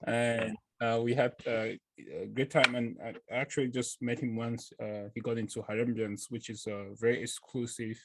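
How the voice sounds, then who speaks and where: A man hosting a podcast, introducing and questioning a guest in a halting, friendly way with many fillers. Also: medium pitch, flat delivery, slight background noise. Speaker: podcast host. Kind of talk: casual podcast interview.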